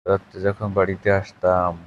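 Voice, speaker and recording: A man's voice: low-pitched, monotone, moderate pace, narrow, tinny audio, slight background noise, lightly acted. A man playing a teasing lover who lives away from his partner, casually probing in an intimate late-night phone chat. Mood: flirty, casual.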